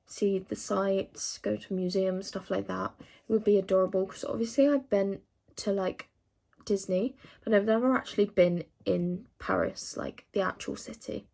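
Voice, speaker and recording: A woman's voice: medium pitch, steady tone, moderate pace, vocal fry, mellow. A teenage woman from England chatting casually to camera, rambling with lots of fillers as she answers questions. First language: English. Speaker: vlogger.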